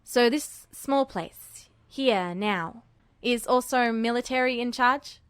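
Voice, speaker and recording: A woman voicing a wry, cool-headed young male foreign soldier in a story, with terse, halting phrasing. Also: a little high, smooth, no room sound, studio quality. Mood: searching, serious. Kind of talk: storybook character dialogue.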